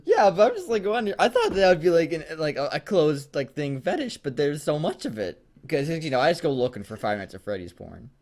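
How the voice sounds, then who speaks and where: A man co-hosting a casual read-aloud show, bantering in a crude, giggly, animated way. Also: fairly high pitch, fairly quick, hardly any pauses. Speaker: co-host of a fan fiction reading show.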